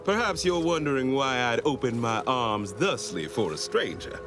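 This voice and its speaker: An actor playing an effusive, pompous official in a video game, speaking with florid, formal, grandiose politeness. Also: male voice, mid-range voice, very animated, moderate pace, music in the background, natural voice. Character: effusive, pompous male official welcoming a stranger. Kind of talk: theatrical video game character dialogue.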